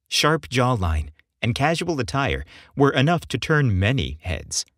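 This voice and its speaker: Animated male storyteller, dry close sound. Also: mid-range voice, no room sound. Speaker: podcast host and narrator. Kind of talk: scripted story narration.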